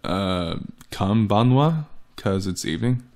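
Low-voiced sardonic man over faint music. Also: expressive, takes it slowly. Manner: exasperated, sardonic asides. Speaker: host and voice actor. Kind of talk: comic dramatic reading of text messages. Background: background music.

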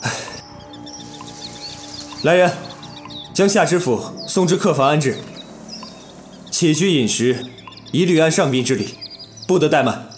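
A man's voice: on the high side, expressive, unhurried, pauses often, faint music behind. A man playing a polite, level-headed young bandit fighter in a Chinese period audio drama, speaking in a composed, formal way. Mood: firm.

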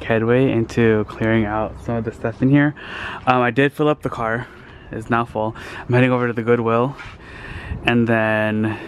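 A man in his thirties vlogging casually into a handheld camera, chatty and excitable, talking straight to his viewers. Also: mid-range voice, slight background noise. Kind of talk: casual vlog narration. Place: car, outdoor yard and thrift store.

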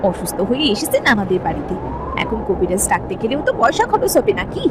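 A woman's voice: mid-range voice, sing-song, quick pace, heavy background noise, natural voice. A woman voicing a callous, stingy sister-in-law in a cartoon drama, whining and accusatory. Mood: grudging. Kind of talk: Bengali cartoon family drama. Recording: music under the voice.

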